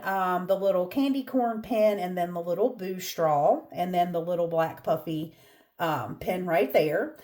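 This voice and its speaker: A woman's voice: mid-range voice, a little mellow. A woman with a Southern American accent, congested and stuffy-nosed, chatting casually as she walks through her craft room for her vlog. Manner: chatty, with frequent ums and asides. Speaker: craft channel host. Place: home craft room, walking around.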